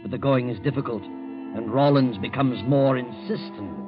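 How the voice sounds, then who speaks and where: A man's voice: medium pitch, normal speed. A man reading scripted narration as the narrator of an old-time radio drama. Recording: dull old radio recording with music.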